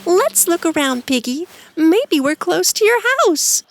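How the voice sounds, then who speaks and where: A gentle young princess from a children's cartoon fairy tale, acted by a woman. Her voice is very lilting and high, with slow, reassuring delivery. She sounds kind and helpful. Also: sing-song, gravelly, heavily acted. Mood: polite. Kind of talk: animated fairy tale voice acting.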